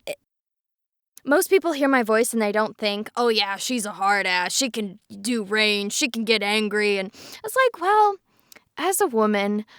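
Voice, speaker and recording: A woman voice actor chatting casually in a cast discussion, animated and self-mocking. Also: medium pitch, measured pace, clear, resonant tone, dry recording, studio quality. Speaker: voice actor on an audio drama.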